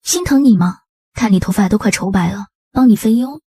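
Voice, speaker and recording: Husky, mellow actress as a tough young woman. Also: mid-range voice, a little fast, no echo, studio quality, natural voice. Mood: teasing, affectionate. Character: tough young female gangster, fierce yet tender with her lover. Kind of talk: Chinese motion-comic voice drama.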